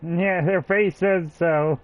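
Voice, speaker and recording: A woman's voice: fairly low pitch, fairly even intonation, takes it slowly, lo-fi sound. A woman game streamer giving casual live reactions as she plays.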